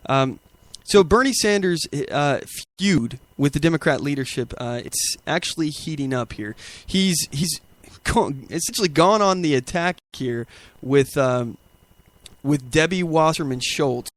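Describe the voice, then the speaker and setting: A man with a somewhat high-pitched voice hosts a political talk show. He speaks off the cuff in a talk radio style, leaning on emphatic, rhetorical phrasing. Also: animated, measured pace. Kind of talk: talk radio commentary, ad-libbed.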